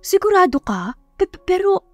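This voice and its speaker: A woman's voice: extremely high pitch, very animated, normal speed, darkish tone, dry recording, faint music behind, voice pushed up. A woman voicing a whiny, gossipy young female coworker in an audio story, exaggerated, with a Filipino accent. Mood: shocked. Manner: whining, exaggerated.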